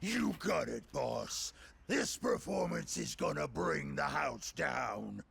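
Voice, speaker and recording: A gravelly, boastful brute, drawing out his words slowly with wild swings in pitch. He sounds gruff and unstoppable. A loud game score runs under him. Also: big pitch swings, full character voice. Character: hulking brutish male mutant bruiser, unstoppable. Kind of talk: video game cutscene voice acting.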